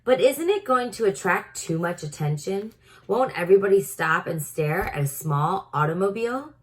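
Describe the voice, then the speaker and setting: A woman's voice: medium pitch, expressive, moderate pace, close to an everyday voice. A tiny storybook mouse, voiced by a woman, polite and earnest. He is a curious little fellow who asks questions and frets readily.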